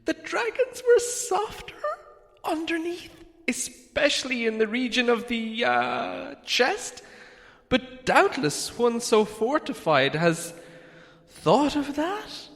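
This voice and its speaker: Timid little hobbit for a storybook, high squeaky voice, stammery and over-polite, flattering. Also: male voice, slow pace, vocal fry, long reverb tail, full character voice. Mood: frightened, hesitant. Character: small timid male hobbit, nervously flattering. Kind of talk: dramatised storybook reading.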